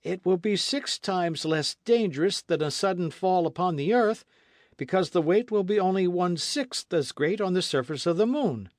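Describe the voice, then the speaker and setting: A man's voice: high voice, lively intonation, normal speed, no room sound, studio quality, voice pushed up. A man reading a book aloud, voicing a bold, witty adventurer in a jaunty, confident manner. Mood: breezy. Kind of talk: audiobook character reading.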